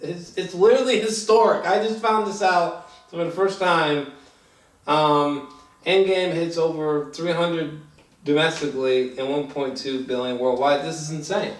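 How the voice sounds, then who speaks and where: A man in a casual film review chat, talking in a halting, rambling way, repeating phrases for emphasis and swearing freely. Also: on the high side, fairly even intonation. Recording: echoey room, distant mic.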